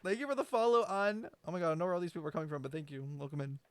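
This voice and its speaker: A young woman giving casual game commentary as a streamer, slangy, sweary and sarcastic, with animated exclamations. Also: on the low side, very fast.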